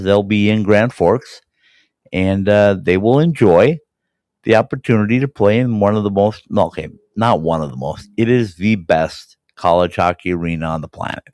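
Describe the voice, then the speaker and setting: A man with a somewhat low voice, raspy and hoarse from a cold, hosts a casual hockey podcast. He rambles enthusiastically, full of fillers and restarts. The sound is close and dry. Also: darkish tone, no echo. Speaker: podcast host. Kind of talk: casual sports chat.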